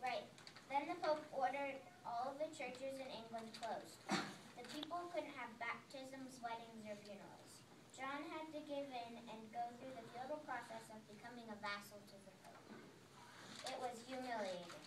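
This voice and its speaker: A brisk game show host played by a woman in an amateur readers' theater history quiz. The voice is high, heard from far off with noticeable noise around it. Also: close to an everyday voice. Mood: explanatory. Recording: echoey, noisy room, distant microphone.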